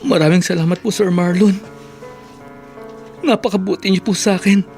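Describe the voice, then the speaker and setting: A man's voice: sits high, fairly even intonation, normal speed, vocal fry, a little mellow, faint music behind. An actor playing a hopeful, grateful young man in a Filipino radio drama. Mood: humble, grateful.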